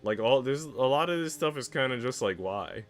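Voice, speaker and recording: A man's voice: mid-range voice, animated, normal speed, faint music behind. A man live-streaming as he reads a visual novel aloud and chats casually in slangy, mildly sweary language. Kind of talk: casual live-stream chat mixed with read-aloud narration.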